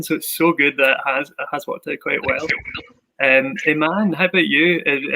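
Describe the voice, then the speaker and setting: A man's voice: fairly high pitch, vocal fry, sharp tone, poor audio. A man from the Glasgow area hosting a live university Q&A, upbeat and enthusiastic with frequent fillers. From Glasgow area, Scotland. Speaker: host of a university Q&A.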